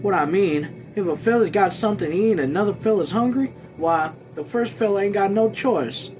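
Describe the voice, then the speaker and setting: A man acts out an awkward, earnest country hunter from a novel, pitched up high. His folksy talk is halting and self-conscious. Music plays clearly behind him. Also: a little mellow, natural voice, poor audio. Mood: embarrassed, insistent. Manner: halting, stumbling, folksy dialect wording. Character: awkward, embarrassed male rabbit hunter, earnest, rural, in a novel. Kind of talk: audiobook-style character reading.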